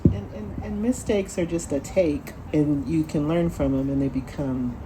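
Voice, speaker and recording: A woman speaking on a panel in a measured, reflective way, with soft ums between her thoughts. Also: sits low, noisy background. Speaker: panelist, event founder. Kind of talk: panel discussion.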